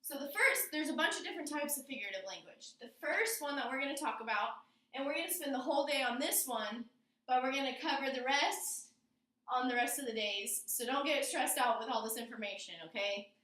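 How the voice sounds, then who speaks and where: A woman teacher with a very high voice explains things to a class and often checks in with questions. She is recorded in an echoey room, some way from the microphone. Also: fairly quick, a bit bright. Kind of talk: classroom lesson on figurative language. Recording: roomy echo, distant microphone.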